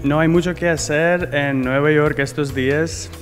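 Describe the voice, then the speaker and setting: A man's voice: on the high side, fairly even intonation, unhurried, loud music behind the voice. Young man in a reverberant hallway. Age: young adult. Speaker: student. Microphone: handheld reporter mic.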